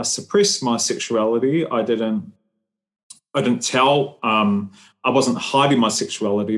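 Man from New Zealand, lowish voice, measured and hesitant, many ums; interview guest on a call. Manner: thoughtful, hesitant with many fillers. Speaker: guest, human rights advocate. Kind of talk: conversational interview.

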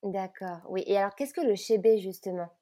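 A woman with a dark, mellow voice hosts a casual interview. She feels her way into questions, hesitating and repeating words as she goes. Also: medium pitch, brisk. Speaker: interviewer, podcast host.

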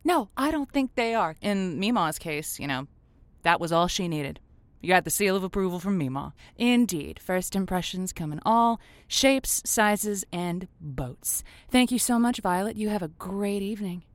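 An actress plays a saucy lonely-hearts radio hostess with a medium-pitched voice in a dry studio sound. She is playful, teasing and encouraging, in the style of improvised audio comedy. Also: lively intonation, normal speed, dry recording, close to an everyday voice. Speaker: radio host.

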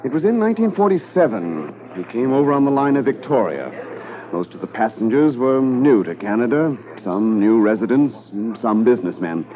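A man playing a middle-aged veteran police officer, relaxed and reflective as he recalls a case in a 1950s radio drama. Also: medium pitch, normal speed, crowd noise, natural voice. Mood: reminiscing. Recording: narrow-band old radio transcription with music.

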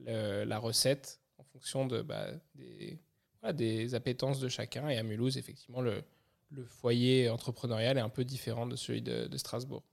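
A man from Paris, speaking as an entrepreneur guest on a podcast in a reflective, relaxed way. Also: mid-range voice, normal speed, gravelly. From Paris, France. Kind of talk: podcast conversation.

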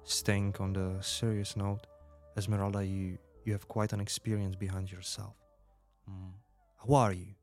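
A man playing a calm underground radio host in a fiction podcast, moderating gently. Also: fairly low pitch, normal speed, soft background music, close to an everyday voice. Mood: calm, attentive.

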